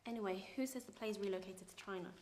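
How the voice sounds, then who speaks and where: A woman's voice: medium pitch, quick pace, darkish tone, reverberant, slight background noise, lightly acted. A woman playing a flustered stand-in leading a rehearsal, who turns defensive. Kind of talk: short film acting.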